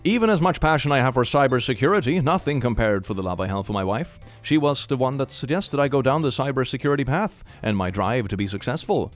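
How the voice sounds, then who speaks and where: Animated sarcastic man from Sweden, reacting. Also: mid-range voice, quick pace, hardly any pauses, soft background music. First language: multilingual, not native English. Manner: sarcastic, incredulous, mock-dramatic readings. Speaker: YouTube commentary host. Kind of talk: comedic reaction commentary, reading posts aloud.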